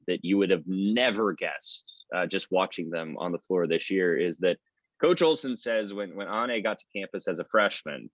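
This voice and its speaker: A low-voiced man talks sports in a conversational, discursive way, like an announcer being interviewed. The sound is a bit dull and lo-fi. He goes on at length, rambling from point to point. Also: a bit bright, dry recording. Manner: talkative, discursive.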